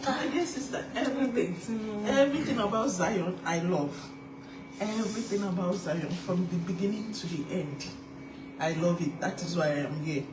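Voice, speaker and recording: A Yoruba-speaking woman from Nigeria with a Nigerian accent, answering interview questions in a soft, easygoing way. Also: fairly low pitch, normal speed, noisy background. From Nigeria, Ogun State. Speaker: church member interviewed. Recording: echoey and distant with a loud crowd.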